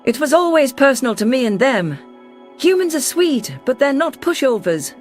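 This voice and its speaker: A woman's voice: high voice, very animated, moderate pace, faint music behind. A woman voicing a defiant, protective female alien ambassador, telling her story in a sci-fi audio drama with earnest assertiveness. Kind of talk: science fiction audio drama, first-person narration and dialogue.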